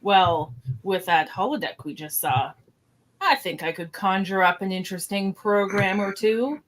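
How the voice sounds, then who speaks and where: A woman's voice: mid-range voice, unhurried, lightly acted, low-quality recording. A woman voicing a confident, flirtatious male starship first officer in an amateur radio play. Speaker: podcaster acting in script reenactment.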